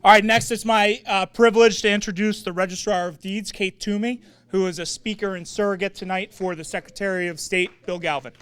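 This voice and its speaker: A man emcees a political candidates night and introduces each speaker briskly and informally. His voice is high-pitched and smooth. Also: steady tone, a bit bright. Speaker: event emcee.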